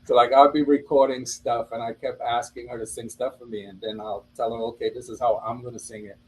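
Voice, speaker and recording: A man from Trinidad with a Trinidadian accent, a rapper and producer telling his story in a relaxed, chatty way. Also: mid-range voice, fairly quick, slightly rough, rough recording. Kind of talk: casual interview chat.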